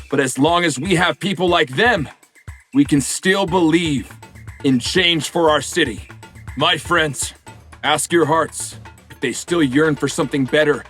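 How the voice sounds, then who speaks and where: A smooth, rousing politician. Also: male voice, fairly high pitch, sharp tone. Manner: rousing, oratorical. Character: smooth-talking male politician campaigning for votes. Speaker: election candidate. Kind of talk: game cutscene voice acting.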